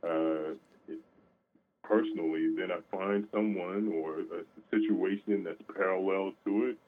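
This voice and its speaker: A very deep-voiced man speaks over a thin, phone-like line. He is an opera singer being interviewed on the radio. His answers are halting and full of fillers and restarts. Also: steady tone, a little hiss or hum.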